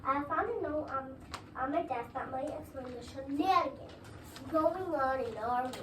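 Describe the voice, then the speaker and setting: A woman's voice: high-pitched, normal speed, lightly acted. A woman playing a kindly first-grade teacher in a scripted readers' theater, reading aloud carefully to her class. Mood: calm, explaining. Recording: echoey room, distant mic, background noise.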